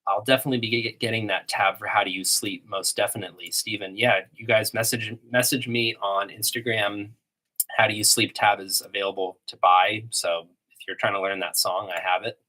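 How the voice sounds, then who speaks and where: Sniffly man with a low voice. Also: fairly quick, rough recording. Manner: relaxed, rambling, many "um"s and restarts. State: has a cold, a little sniffly. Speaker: livestream host answering chat questions. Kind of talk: casual livestream Q&A. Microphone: iMac desktop microphone. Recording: home desktop computer recording. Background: guitar playing between answers.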